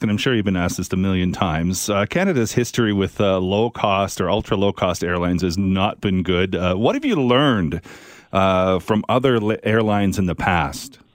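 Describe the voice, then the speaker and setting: A man's voice: fairly low pitch, dry recording, studio quality. A man hosting a travel podcast, introducing a guest and asking questions in an upbeat, conversational way. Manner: upbeat, conversational, with some fillers. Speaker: podcast host.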